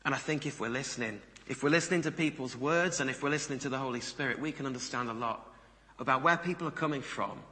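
A man's voice: medium pitch, fast talker, sharp tone. A man giving a sermon in a conversational manner, putting questions to his listeners. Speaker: preacher. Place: large reverberant room before a congregation. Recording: reverberant hall sound.

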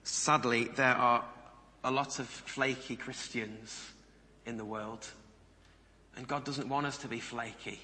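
Male preacher, very bright, crisp-edged tone, conversational sermon delivery, long hall reverb. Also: medium pitch, fairly even intonation, takes it slowly. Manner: conversational, rhetorical questions to listeners. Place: large reverberant room before a congregation.

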